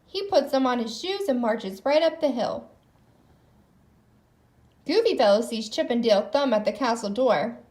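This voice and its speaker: A woman narrates a children's storybook with plenty of expression. Her voice is high and her intonation is very lively, shaping each sentence for young listeners. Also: moderate pace, long pauses. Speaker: storybook reader.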